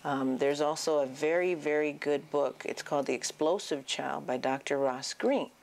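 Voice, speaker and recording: A woman's voice: low voice, moderate pace, darkish tone. A middle-aged woman speaking to parents as a psychiatrist, explaining her advice with emphasis, recorded on a lapel mic in an office. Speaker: child and adolescent psychiatrist giving advice. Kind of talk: informational talk to camera, addressing parents. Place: small indoor office.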